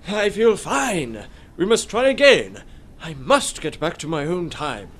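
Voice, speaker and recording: A man's voice: on the high side, big pitch swings, unhurried, a bit bright, slightly rough, heavily acted. A man voicing a boastful sixteenth-century knight who speaks in theatrical, archaic English in a radio play. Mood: bewildered. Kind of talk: amateur radio play acting.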